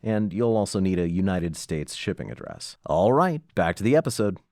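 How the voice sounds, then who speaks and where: Lively, dry-recorded man announcing. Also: low-pitched, normal speed, gravelly, no room sound. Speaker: podcast producer and host. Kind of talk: promotional announcement to listeners.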